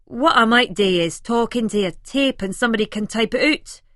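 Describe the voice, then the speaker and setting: A woman voicing a cheeky, enthusiastic Scottish lad with a loud, chummy manner in an audiobook. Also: fairly high pitch, normal speed, dry recording, clean studio sound, natural voice. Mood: defensive.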